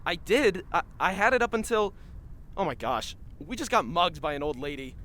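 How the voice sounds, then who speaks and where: An actor playing an excitable, loyal young male journalist, melodramatic but casual, in an audio drama. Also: medium pitch, normal speed, a little hiss or hum, close to an everyday voice. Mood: flustered.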